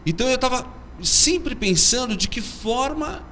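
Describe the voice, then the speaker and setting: Bright-voiced Paulistano comedian, animated. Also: male voice, very high-pitched, unhurried, a little hiss or hum. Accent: Paulistano Brazilian Portuguese. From São Paulo, Brazil. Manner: animated storytelling. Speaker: comedian guest. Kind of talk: interview chat.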